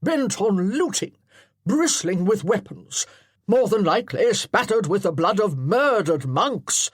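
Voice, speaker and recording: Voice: medium pitch, sing-song, a little slow, husky, no echo, studio quality, pitched above the performer's own voice. Narrator barking as a commanding old colonel. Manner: loud barked orders. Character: commanding older male colonel, drill-sergeant bark.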